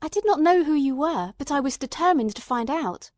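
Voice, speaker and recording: A woman reads as a detective's loyal gentleman companion in an audiobook. The voice is high and closely recorded with little room sound. He speaks candidly and fervently, quick to show his feelings. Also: animated, no echo, clean studio sound. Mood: relieved, eager. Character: earnest, loyal, emotional male companion of a detective. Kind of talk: audiobook character dialogue.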